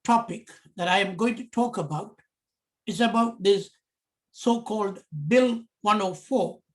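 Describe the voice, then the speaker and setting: Man, Sri Lankan accent, middle-aged, slow speaking, deliberate prepared talk. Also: high-pitched. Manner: slow, deliberate, measured phrasing in short pieces. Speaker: commentator.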